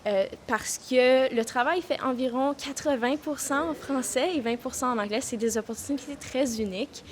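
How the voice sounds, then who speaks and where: A woman's voice: high voice, normal speed. A young woman law student, giving an earnest vox pop answer full of fillers.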